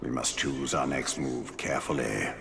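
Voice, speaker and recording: An actor playing a grave, calculating spirit lord in a video game, measured and solemn with a gravelly voice. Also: male voice, a bass voice, fairly even intonation, a bit bright, full character voice. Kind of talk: video game character acting. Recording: long hall reverb, faint noise.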